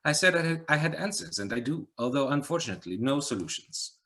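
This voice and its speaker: A man's voice: fairly high pitch, lively intonation, quick pace, pushed and bright, voice pushed up. A man doing an arch pale man with an Eastern European lilt. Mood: musing. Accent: slight Eastern European. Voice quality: arch, philosophical, fussy. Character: pale, arch man with answers, older-sounding. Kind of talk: audiobook reading.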